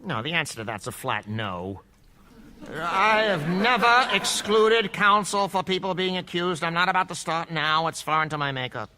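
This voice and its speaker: A man's voice: fairly high pitch, lively intonation, sharp tone, a little hiss or hum. An actor playing a firm, patient male judge who runs a courtroom hearing with authority. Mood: stern. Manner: authoritative, deliberate, emphatic. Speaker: judge presiding over a hearing.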